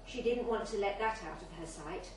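A woman's voice: medium pitch, normal speed, darkish tone. A woman reading a literary short story aloud as scripted narration at a live event in a bar. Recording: very echoey, distant mic, faint noise.

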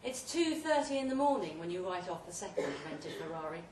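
A woman giving an emphatic, deliberately phrased dramatic reading of a second-person short story at a live event. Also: fairly low pitch, sing-song, a little mellow. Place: indoor pub event, before an audience. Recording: roomy live pub recording, distant mic, faint noise.

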